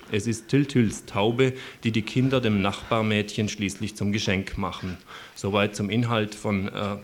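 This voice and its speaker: A man's voice: fairly low pitch, a little hiss or hum. A man presenting live event listings on community radio, informally and with chatty asides. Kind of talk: live community radio announcements, part improvised.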